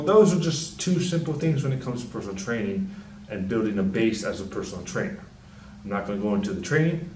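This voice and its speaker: A young man, a personal trainer, giving casual, rambling fitness advice in a deep, soft-spoken, mumbling voice, swearing freely. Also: reverberant, distant mic, a little hiss or hum, low-quality recording. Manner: laid-back, rambling, frequent swearing and fillers. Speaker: personal trainer and channel host.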